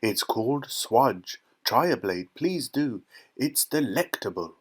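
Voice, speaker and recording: A man voicing a flamboyant, excitable eccentric factory owner for a children's storybook, exuberant and emphatic, like a showman. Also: medium pitch, slow pace, breathy edge, full character voice. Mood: gleeful showing off.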